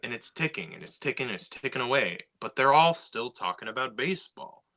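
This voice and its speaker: A man's voice: medium pitch, animated, a little fast, sharp tone. A man co-hosting a casual podcast, explaining his ideas and building his points step by step. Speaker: podcast co-host and writer.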